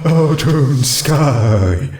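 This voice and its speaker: A man voicing a gentle, shy female ghost pony seamstress, hesitant and airily polite, in a story reading. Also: medium pitch, expressive, drawn-out words, roomy sound, voice pushed up.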